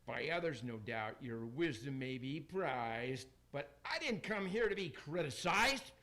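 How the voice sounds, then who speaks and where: A man's voice: mid-range voice, sing-song, a little slow, sharp tone, full character voice. A man acting a pompous, scheming Roman emperor from hell in a rhymed radio play, declaiming theatrically. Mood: menacing, boastful. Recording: faint noise, amateur radio broadcast.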